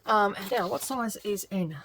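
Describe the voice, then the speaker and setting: A middle-aged woman with a slightly croaky voice, chatting and thinking aloud as she works on a quilt for her craft channel. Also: mid-range voice, normal speed, background noise. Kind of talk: casual craft vlog narration while working.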